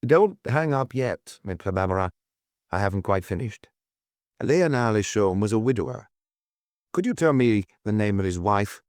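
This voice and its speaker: A man plays a calm male chief inspector in an audiobook. His voice is on the low side and very dry. He questions in a measured, courteous way, quietly persistent and probing. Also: no echo, lightly acted. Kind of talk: audiobook character dialogue.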